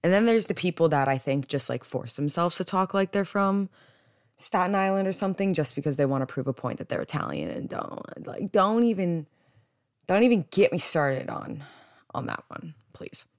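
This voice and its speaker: A woman's voice: lowish voice, very animated, moderate pace, dry recording, clean studio sound. A young American woman from New Jersey talking casually on a solo podcast, candid and rambling, with "like" sprinkled throughout. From New Jersey, United States. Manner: chatty, rambling, candid, frequent "like". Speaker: podcast host.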